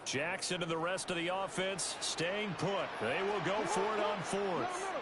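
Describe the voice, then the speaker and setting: A man's voice: high-pitched, animated, measured pace. A man with an American accent calling football plays as a play-by-play announcer in a video game. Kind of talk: live-style American football commentary. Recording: loud stadium noise behind the voice. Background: stadium game ambience.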